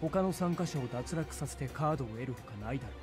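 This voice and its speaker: A man's voice: a little high, animated, very fast, lightly acted. A man voicing a terse, aloof young man. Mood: cool. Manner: clipped, sparing with words. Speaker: game voice actor. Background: game music.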